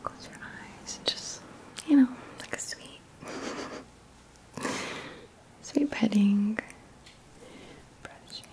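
Whispering woman, slow ASMR delivery. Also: a little high. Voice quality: breathy whisper. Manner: slow, soothing, gentle, with pauses. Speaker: ASMR host. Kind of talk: ASMR, soft guided relaxation roleplay. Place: small indoor room.

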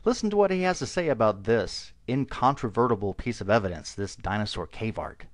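A man's voice: fairly low pitch, animated, brisk. A man narrating a video voice-over with dry, mocking sarcasm.

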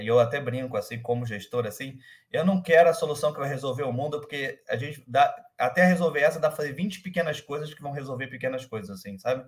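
A man in his thirties with a carioca accent softened by years in São Paulo, a guest speaking casually on a video call and hesitating with many fillers and restarts. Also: mid-range voice. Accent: carioca Brazilian Portuguese, softened by years in São Paulo. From Rio de Janeiro, Brazil. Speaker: guest, company manager. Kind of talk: casual interview conversation. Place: room at home, indoors. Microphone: webcam or laptop mic on a video call.

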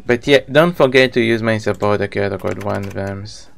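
Low, creaky Israeli male streamer. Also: normal speed, soft background music. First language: Hebrew. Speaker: video game live streamer. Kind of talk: casual live stream chat with viewers.

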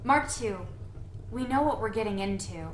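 A woman's voice: a little high, animated, moderate pace, natural voice. A woman playing a defiant, feisty young daughter in an audio drama, plucky and proud. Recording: echoey space, faint noise.

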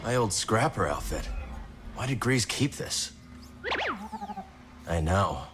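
An actor playing a weary, earnest young human hero in a video game, understated and subdued. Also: male voice, fairly low pitch, expressive, slow, over loud music. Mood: musing. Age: young adult. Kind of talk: naturalistic video game acting.

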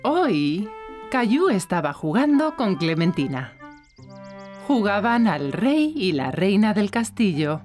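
A woman's voice: mid-range voice, big pitch swings, slow pace, a little mellow, soft background music. A woman narrating a scripted children's cartoon story for young listeners.

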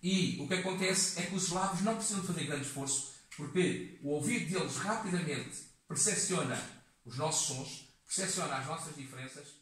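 A man in his fifties with a European Portuguese accent, giving a halting classroom lecture full of fillers, recorded from across the room. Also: a little high, fairly bright tone. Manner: halting, many fillers and restarts. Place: community classroom with seated audience. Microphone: far camera in the room. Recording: echoey room, voice from a distance.

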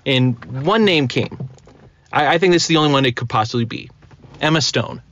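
A man's voice: mid-range voice, moderate pace, a bit bright. A man chatting casually as a podcast host. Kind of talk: casual chat. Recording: slightly dull, lo-fi sound.

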